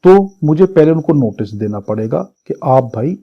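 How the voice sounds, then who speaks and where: A man's voice: fairly high pitch, animated, normal speed. A man with a Pakistani accent teaching law as an exam-prep lecture, reading out English statute phrases and explaining each one point by point. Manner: didactic, repeats and rephrases point by point. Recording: dull, muffled lo-fi audio.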